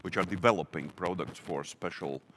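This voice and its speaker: A man moderating a conference Q&A, reading out audience questions in a halting way with many fillers. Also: deep voice, fairly bright tone, slight background noise. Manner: hesitant, halting, full of fillers.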